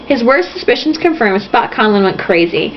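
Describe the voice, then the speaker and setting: A fairly high-pitched woman gives a lively, swooping read-aloud of a novel. She is theatrical and casual, slipping into mocking asides. The recording is dull and lo-fi, with faint noise behind her. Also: moderate pace. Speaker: host reading a novel aloud with jokey commentary.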